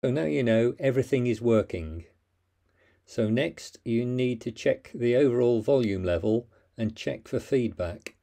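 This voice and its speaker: A man's voice: mid-range voice, animated, a little slow, vocal fry, mellow. A man narrating a plain, methodical how-to voice-over, giving step-by-step instructions with the odd small slip.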